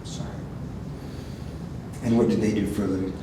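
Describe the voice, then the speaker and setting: A man's voice: lowish voice, level tone, normal speed. A man volunteering as interviewer for an oral history project, asking questions hesitantly with fillers and restarts. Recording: echoey room, far mic, background noise.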